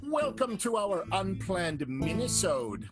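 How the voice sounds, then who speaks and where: A high-pitched male announcer introduces a show with theatrical relish. His delivery is playful and showy, with clear music running underneath. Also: big pitch swings, slow pace. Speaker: host and narrator. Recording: music bed behind the voice.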